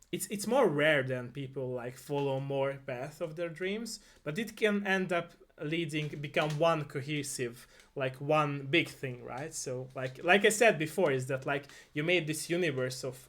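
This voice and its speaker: A man's voice: medium pitch, fairly bright tone. Earnest Hungarian-accented male interviewer. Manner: earnest, repeats agreeing words. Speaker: interview show host. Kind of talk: casual interview conversation.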